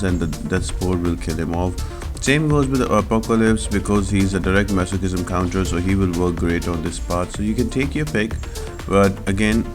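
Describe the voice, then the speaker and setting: A man giving an explanatory, instructional game walkthrough as the host of a gaming video. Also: low voice. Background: loud music behind the voice.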